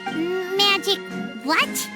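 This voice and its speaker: A woman voicing a tiny childlike pixie in a video game, squeaky, excitable and blunt. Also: very slow, loud music behind the voice, exaggerated voice. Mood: puzzled. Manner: childlike, exclaiming, refers to self in third person. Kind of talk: animated game character acting.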